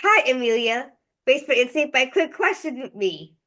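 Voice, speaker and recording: A woman's voice: high-pitched, sing-song, normal speed, sharp tone. A female interviewer hosting over a video call and asking prepared questions. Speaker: interviewer, show host.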